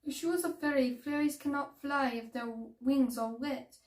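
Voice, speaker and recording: Girl acting as a pert little fairy student, high voice, doubts everything, echoey room. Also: moderate pace, lightly acted. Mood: cheeky, doubting. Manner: pert, questioning. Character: young female fairy pupil, cheeky, skeptical, argumentative. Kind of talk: in-character fantasy roleplay.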